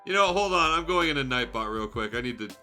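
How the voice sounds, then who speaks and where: Animated bright-voiced man streaming. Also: medium pitch, fast talker, faint music behind. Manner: animated, amused, profane. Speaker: streamer hosting a fanfiction reading. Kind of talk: livestream read-aloud with casual asides.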